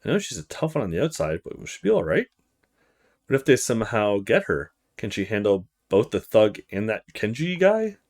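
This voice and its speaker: A man's voice: lowish voice, big pitch swings, a little fast, darkish tone, pitched below the performer's own voice. A man voicing a friendly, concerned young male student in a visual novel, tentative and polite. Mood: worried. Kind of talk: visual novel character read-aloud.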